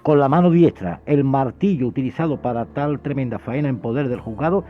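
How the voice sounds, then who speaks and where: A man's voice: mid-range voice, a little fast. A man contributing to a radio discussion. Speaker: radio panellist. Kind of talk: radio discussion, reading out comments. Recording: dull lo-fi radio sound, faint music.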